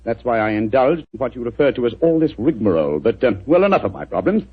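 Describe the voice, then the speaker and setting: Self-assured detective, lively quick delivery, thin husky old broadcast. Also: male voice, mid-range voice. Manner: brisk, self-assured, dismissive. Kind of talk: 1940s radio acting. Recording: tinny husky 1940s radio broadcast.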